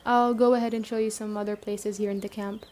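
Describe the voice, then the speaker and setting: A woman playing a young zombie-apocalypse camp chief in a roleplay audio drama, explaining things conversationally to the listener with hesitant pauses. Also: mid-range voice, little pitch movement, a little mellow, lightly acted. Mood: businesslike, helpful.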